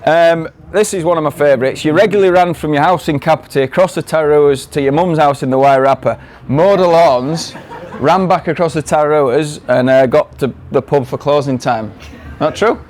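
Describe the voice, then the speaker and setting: A man's voice: on the high side, a little fast, darkish tone. Yorkshire man with a kiwi-tinged accent, live audience talk, playful teasing delivery. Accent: Yorkshire English mixed with New Zealand. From Yorkshire, England. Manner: jokey, teasing, laughing through his lines. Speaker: guest speaker.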